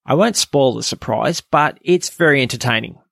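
A man's voice: medium pitch, expressive, moderate pace, creaky, fairly bright tone, no echo, clean studio sound. A man hosting a podcast, delivering a scripted review narration. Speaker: podcast host reviewing audio dramas.

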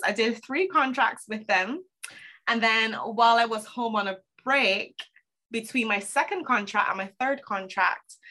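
Bright-voiced woman from Barbados. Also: medium pitch. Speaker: podcast guest, holistic health coach. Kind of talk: casual interview storytelling.

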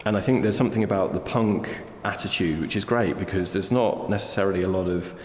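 A man's voice: sits low, quick pace, darkish tone, a little hiss or hum. A man in his fifties from the north of England, an artist talking thoughtfully about his past in an interview, with many hesitations. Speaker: artist guest. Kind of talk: reflective interview conversation. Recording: roomy hall echo, faint ambience.

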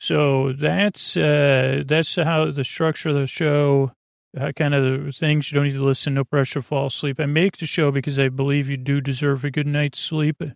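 Creaky guy doing a sleep podcast, rambly n self-deprecating, keeps wandering off mid sentence, super dry close mic. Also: level tone, dry recording. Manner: halting, full of tangents and self-interruptions; self-deprecating, low-key. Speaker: host of a bedtime sleep podcast. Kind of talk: rambling, meandering bedtime monologue.

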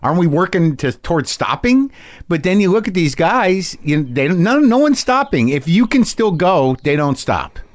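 A man's voice: high-pitched, sing-song, normal speed, no echo, clean studio sound. A man in his fifties hosting a casual chat, rambling and restarting as he thinks aloud. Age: 50s. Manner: rambling, self-searching, frequent restarts. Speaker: podcast host.

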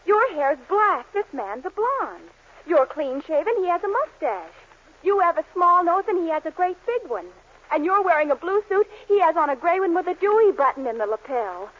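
A sweet, high-voiced young girlfriend. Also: very animated, moderate pace. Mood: sweet patient. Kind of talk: 1940s radio sitcom acting. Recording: dull 1940s radio broadcast recording.

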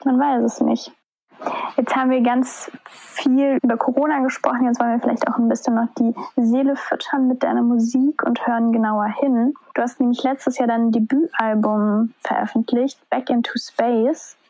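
A woman interviewer asks easy, friendly questions in a gravelly voice with plenty of fry. Her pitch sits fairly high but flat, and the recording is dry and close. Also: moderate pace, no room sound, rough recording.

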